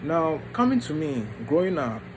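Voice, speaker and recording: A man tells his personal story informally, in short halting phrases with pauses. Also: on the high side, big pitch swings, noisy background. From Ghana and the United States. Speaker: vlogger introducing his book.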